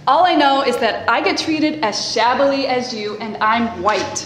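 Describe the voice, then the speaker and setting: Lady reading a brassy snide actress gal, high voice, super echoey stage. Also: moderate pace, a bit bright, lightly acted. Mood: taunting. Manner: brassy, sarcastic, condescending. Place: theater stage before a live audience. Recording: very echoey stage, faint room noise.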